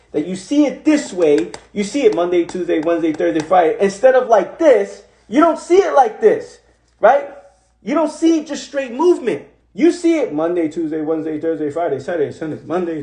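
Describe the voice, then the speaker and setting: A high-voiced man speaks into his phone on a livestream, animated and repetitive. His talk is casual and motivational, with loose swearing. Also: normal speed. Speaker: host of an Instagram live.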